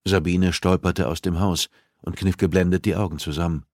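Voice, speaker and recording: Low-voiced man narrating a thriller. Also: steady tone, normal speed, a little mellow, no echo, clean studio sound. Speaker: audiobook narrator. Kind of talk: scripted narration of a thriller novel.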